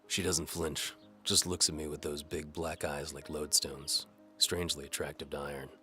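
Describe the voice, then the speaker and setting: A man's voice: extremely low pitch, flat delivery, normal speed, sharp tone. A man narrating a hardboiled first-person audio drama in a world-weary, wry manner over music. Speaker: narrator and lead actor.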